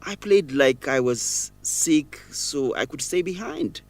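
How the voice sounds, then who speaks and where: A man's voice: on the high side, lively intonation, takes it slowly, vocal fry, no room sound, heavily acted. A man acting a troubled young student raised in an orphanage, emotional and confessional, in an educational radio drama. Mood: sorrowful, reflective.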